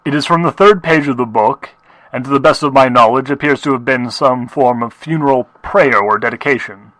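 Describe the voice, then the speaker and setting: A muffled old-record voice of an older pony stallion , acted by a man. He is scholarly and measured, with a slight upper-class accent. The sound is dry, with a middling male pitch, as he reads grave research notes in an audio drama. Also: fairly bright tone, no room sound. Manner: formal, measured, pedantic. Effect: muffled old record playback.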